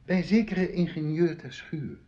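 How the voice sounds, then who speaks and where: Radio play: smooth-mannered itinerant accordionist, formal and florid, very animated pitch, muffled lo-fi sound. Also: male voice, a little slow. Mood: boastful. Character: smooth, courteous travelling accordion player inviting himself in. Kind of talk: Dutch radio play acting. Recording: dull lo-fi radio play recording.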